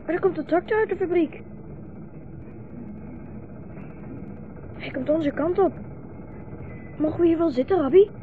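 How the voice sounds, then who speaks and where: A woman's voice: very high voice, brisk. A woman playing a young boy, a hungry, footsore and trusting guide, earnest and observant, in a 1960s radio drama. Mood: curious. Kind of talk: 1960s radio play acting. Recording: muffled, hissy 1960s radio recording.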